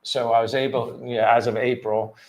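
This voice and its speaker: A man's voice: mid-range voice, little pitch movement, brisk, a little mellow, rough recording. Casual livestream guy in his 50s, from pennsylvania, earnest, restarts a lot. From Pennsylvania, USA. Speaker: livestream co-host.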